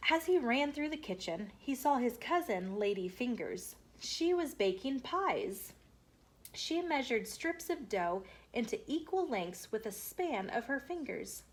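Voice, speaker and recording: Slow-paced woman storyteller, higher voice. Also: sing-song. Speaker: children's storyteller. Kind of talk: expressive picture-book read-aloud.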